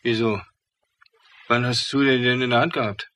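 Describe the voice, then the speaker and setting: An actor playing a jovial, teasing law professor with a taste for wine, jocular and ironic, in a German radio crime play. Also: male voice, medium pitch, steady tone, moderate pace, pushed and bright, natural voice. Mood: puzzled.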